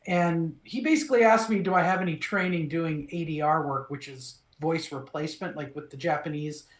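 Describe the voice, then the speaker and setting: Middle-aged voice actor guy from minnesota telling stories, animated, lots of restarts, echoey room. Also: on the high side, a little fast. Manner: animated, restarts phrases, acts out quoted lines. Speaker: voice actor, interview guest. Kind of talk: relaxed storytelling.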